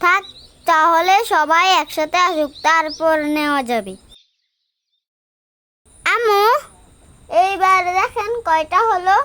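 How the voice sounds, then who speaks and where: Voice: very high voice, takes it slowly, pauses often, bright, forceful tone, exaggerated voice. A performer voicing an eager, headstrong young boy in a kids' cartoon, childlike and insistent. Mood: excited. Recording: music behind the voice.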